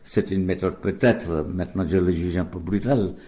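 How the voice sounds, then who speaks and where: A man's voice: sits low, normal speed, warm tone. An older man from India, a Gujarati speaker, answering interview questions in a hesitant way full of fillers and restarts. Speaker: guest, deacon. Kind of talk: radio interview.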